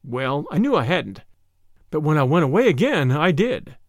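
A man's voice: on the high side, big pitch swings, normal speed, no room sound, studio quality. A man voicing a small, mild, shrewd country priest in a storybook, speaking simply with a timid, apologetic manner. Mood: unaffected, rueful.

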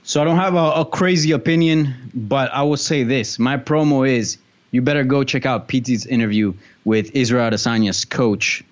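A man's voice: mid-range voice, fairly even intonation, normal speed. Slightly muffled male sports pundit, chatting casually. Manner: conversational, peppered with "like" and "you know". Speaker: co-host and MMA pundit. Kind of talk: casual sports talk and opinion.

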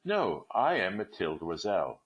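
A weary but proud poor woman, as a man puts her on for a storybook, pitched a little above his low voice. She speaks plainly and earnestly, with a lively rise and fall, and the sound is slightly dull. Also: measured pace, heavily acted.

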